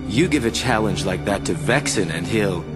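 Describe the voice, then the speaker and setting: A man's voice: lowish voice, expressive, moderate pace, natural voice. An actor playing a nonchalant young male villain in a video game, with a casual, wry delivery. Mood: sly. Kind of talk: video game cutscene acting. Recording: loud dramatic music underneath.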